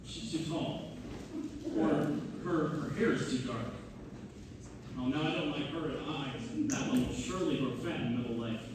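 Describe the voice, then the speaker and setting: A man's voice: medium pitch, normal speed, natural voice. A man in a community theater read-through playing an eager, bashful and picky young divinity student. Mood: anxious, picky. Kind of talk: community theater stage comedy acting. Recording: distant, very echoey hall, faint noise.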